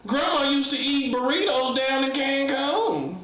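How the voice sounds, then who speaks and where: A man's voice: extremely high pitch, bright, forceful tone, echoey room. A man giving a casual comedic monologue as an online preacher, teasing his listeners, laughing often and acting out mock conversations. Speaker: self-styled prophet and online preacher.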